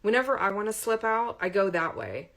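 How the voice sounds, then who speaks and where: A woman voicing a firm, quick-tempered but kind college professor in an audiobook, speaking with articulate authority. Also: medium pitch, animated, a bit bright, voice pushed up. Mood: softer, helpful.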